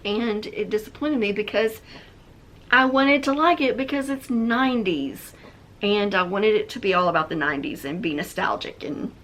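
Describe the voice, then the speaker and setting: A woman's voice: mid-range voice, a bit bright. A woman giving informal, opinionated book reviews in a chatty way, thinking aloud and correcting herself as she goes.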